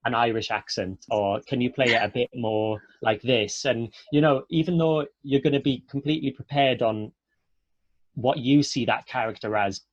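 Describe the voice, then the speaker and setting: A Welsh man speaks at a middling pitch, chatty and earnest, like an actor encouraging students in an informal interview. The sound is close and dry, a slightly muffled webinar recording. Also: normal speed, no echo. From south Wales.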